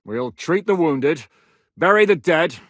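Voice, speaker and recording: An actor playing a battle-hardened warlord commander who gives blunt, commanding orders and swears freely in the fight, recorded in a dry studio. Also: male voice, a little high, expressive, unhurried, no echo. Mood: grave. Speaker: military commander character. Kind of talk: video game voice lines.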